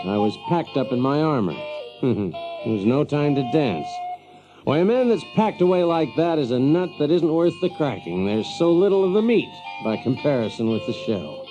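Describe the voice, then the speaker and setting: An actor as a lively, sardonic Yankee. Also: male voice, a little high, natural voice, rough recording. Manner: folksy, hesitant, chuckling, sardonic. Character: wry, exasperated Yankee man telling his tale in a medieval land. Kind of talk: audio drama acting and first-person storytelling.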